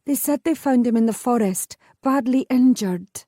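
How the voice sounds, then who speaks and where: A woman's voice: fairly high pitch, normal speed, warm tone, no echo, clean studio sound, pitched above the performer's own voice, close to an everyday voice. Woman voicing a stubborn protective sister. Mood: insistent.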